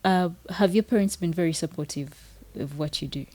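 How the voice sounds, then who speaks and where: A Ugandan woman hosting an interview, speaking in a measured, reflective way. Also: fairly low pitch, darkish tone. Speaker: interview host. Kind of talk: conversational interview.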